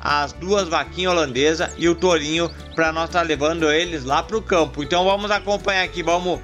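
A high-pitched man chats his way through toy farm play, talking to viewers as his friends. He stretches out long herding calls to the cattle. Music plays loudly behind his voice. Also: a little fast. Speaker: toy farm channel host. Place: outdoors in a dirt yard with toy animals. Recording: background music under the voice.

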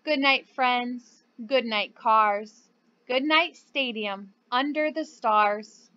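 A woman's voice: on the high side, takes it slowly, clear, resonant tone, highs cut off. A young woman, a teacher speaking to small children in a simple, encouraging way. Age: young adult. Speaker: preschool teacher. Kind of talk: children's lesson and storybook read-aloud.

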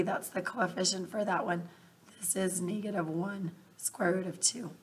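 A woman teacher in her thirties with a husky, scratchy voice that sits fairly low. She walks her students through each step patiently, speaking straight to them from a webcam in a small room. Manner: patient step-by-step explaining, addresses students directly. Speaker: math teacher. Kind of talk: recorded math lesson over worksheet. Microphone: webcam bubble over screen recording.

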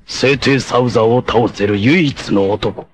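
A man's voice: mid-range voice, measured pace, natural voice. A man voicing a noble, self-sacrificing blind warrior leader in a 1980s anime, with a solemn, formal, declamatory delivery. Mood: composed, grave. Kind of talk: 1980s anime martial-arts voice acting.

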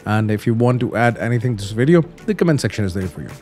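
A man's voice: lowish voice, expressive, very fast, darkish tone, faint music behind. A man hosting a tutorial, explaining things casually step by step and repeating simple instructions. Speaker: host of flight simulator tutorials.

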